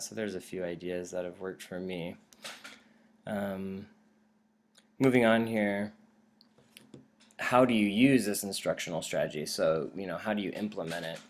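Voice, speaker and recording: A man with a low-pitched voice presents like a teacher walking colleagues through a method. His delivery is informal and conversational, explaining each point plainly. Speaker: teacher giving a strategy presentation.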